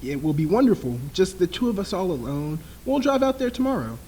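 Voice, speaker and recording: A man playing a smooth, menacing husband in an old-time radio thriller, outwardly soothing and patronizing. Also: sits high, big pitch swings, a little fast, warm tone, slight background noise, low-quality recording. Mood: sinisterly cheerful. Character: smooth, menacing husband toying with his frightened wife.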